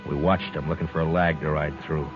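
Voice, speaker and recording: A stern frontier marshal with a deep voice for a 1950s radio western. He is terse, firm and world-weary. The old recording is dull, with music clearly behind it. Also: flat delivery, fairly quick. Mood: grave narrating. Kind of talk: 1950s radio western acting and first-person narration.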